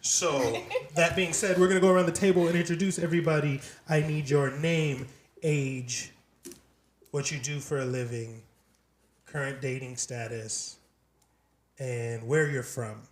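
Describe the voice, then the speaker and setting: A man's voice: fairly high pitch, steady tone, a little slow, echoey room, slight background noise. Blunt, swearing man hosting a podcast. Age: 30s. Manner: blunt, brash, swears freely. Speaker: podcast host. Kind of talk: casual, profane podcast chat.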